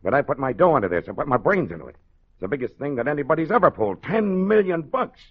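An actor playing a tough, menacing crime boss in a 1940s radio crime drama, with streetwise, slangy, needling talk. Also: male voice, fairly high pitch, big pitch swings, fast, breathy edge. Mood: hard, businesslike. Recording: muffled, thin old radio broadcast.

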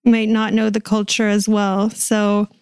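A woman's voice: mid-range voice, completely flat delivery, measured pace, a little mellow, smooth, no room sound, studio quality. An American woman from the Washington DC suburbs, talking casually and hesitantly as an interview guest. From suburbs of Washington DC, USA. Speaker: podcast guest.

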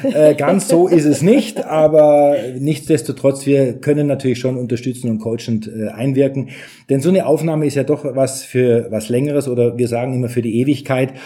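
Middle-aged man, very dark warm tone, medium pitch, chatty interview guest, explanatory, lightly joking. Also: fairly even intonation, normal speed. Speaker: recording studio owner, interview guest. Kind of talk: conversational interview answers. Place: home recording studio indoors.

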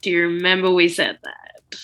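A woman's voice: on the low side, moderate pace, fairly bright tone, close to an everyday voice. A woman playing a chatty, oblivious old wizard headmaster in an improvised comedy sketch, stammering and slangy. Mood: cajoling.

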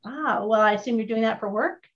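A woman's voice: on the high side, fairly quick. A woman hosting a casual online training chat, upbeat and chatty, often putting questions to her audience. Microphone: video call. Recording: muffled lo-fi call audio.

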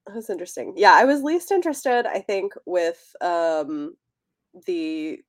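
Casual, lower-pitched woman chatting about books. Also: expressive, unhurried. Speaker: podcast co-host. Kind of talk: casual book discussion.

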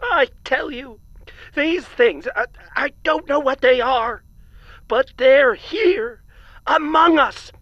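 Quirky elderly space-station supervisor: high, bright, wildly animated, rambling and wheezy, audio drama. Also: female voice, dry recording, highs cut off. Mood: alarmed, conspiratorial. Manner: halting, rambling, exclamatory storytelling.

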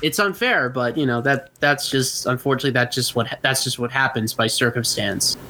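A man's voice: mid-range voice, fairly quick, pushed and bright, a little hiss or hum. A man co-hosting a casual wrestling podcast, hedging and searching for the right words as he talks. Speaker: podcast co-host.

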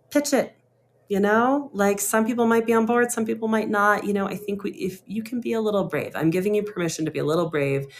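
A woman co-hosting a casual talk show over an internet call, animated and laughing often, with lots of "like" and "you know". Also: low voice, slight background noise.

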